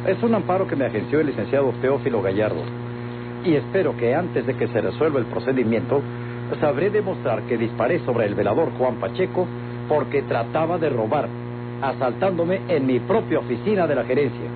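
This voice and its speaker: A man's voice: mid-range voice, expressive, a little fast, lightly acted. An actor with a Mexican accent playing an evasive, indignant businessman accused of murder, formal and defensive, in a vintage radio drama. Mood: formal, confident. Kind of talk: Mexican golden-age radio drama acting. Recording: muffled vintage radio recording, music behind.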